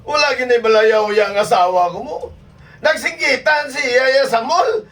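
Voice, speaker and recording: Man playing a very high-voiced whiny Ilonggo husband. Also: unhurried, a bit bright, faint music behind, exaggerated voice. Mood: whining complaint. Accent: Ilonggo Filipino. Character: vain, whining husband obsessed with his good looks. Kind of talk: comic skit acting. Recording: distant microphone.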